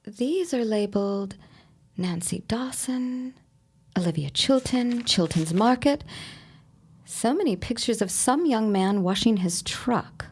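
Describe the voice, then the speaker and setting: An actress playing a sardonic, suspicious accomplice in a period audio drama, speaking in a deliberate, theatrical manner. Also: female voice, medium pitch, darkish tone, breathy edge.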